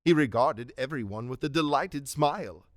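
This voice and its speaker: A man's voice: medium pitch, animated, normal speed, dry recording, studio quality, natural voice. A man giving dramatic scripted narration of a story in a radio play.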